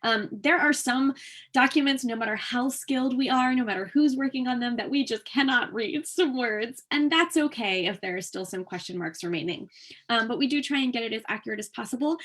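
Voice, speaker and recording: A woman's voice: medium pitch, a bit bright. Woman presenter explaining in a conversational way. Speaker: workshop presenter for a museum transcription program. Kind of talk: informal explanatory presentation with audience questions.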